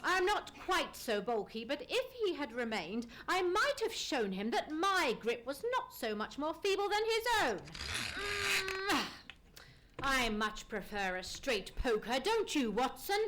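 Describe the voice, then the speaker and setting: A woman playing a cool, wry gentleman detective in an old-time radio play, polite and precise. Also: high voice, very animated, measured pace, slight background noise, full character voice. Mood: wry, brisk. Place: live on a theatre stage.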